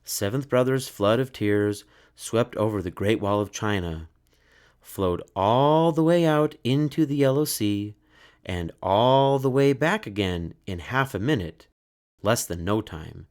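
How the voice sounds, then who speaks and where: A man reading a children's story aloud in a measured, expressive way. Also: lowish voice. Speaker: storybook narrator.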